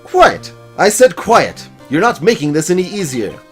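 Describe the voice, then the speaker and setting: A man performs a stern video game character under strain. He snaps out sharp commands, pitched high and swinging widely. Faint music sits behind the voice. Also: wildly swooping pitch, normal speed, slightly rough, full character voice. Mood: angry, tense. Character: stern, strained man snapping at a panicking companion.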